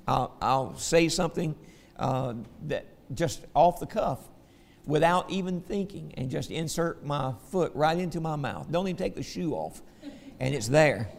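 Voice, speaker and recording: A man with a Southern American accent preaches a folksy sermon to his congregation. His voice sits slightly high and carries a gravelly edge. The sound rings with the long reverb of a large church hall. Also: animated, measured pace. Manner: folksy, asks the congregation "okay?" often.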